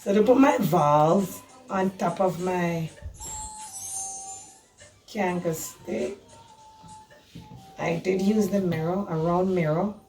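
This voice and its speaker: Hoarse woman with a gravelly voice. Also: fairly low pitch, rough recording. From Jamaica. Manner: chatty and instructive, apologetic about her voice. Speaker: DIY crafter and caterer. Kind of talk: casual DIY tutorial.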